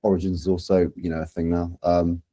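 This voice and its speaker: London-accented man, thirties, low pitch, soft dark tone, casual podcast guest. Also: flat delivery, fairly quick, no room sound, studio quality. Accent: London English. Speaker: podcast guest, social media and community manager. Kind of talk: casual chat.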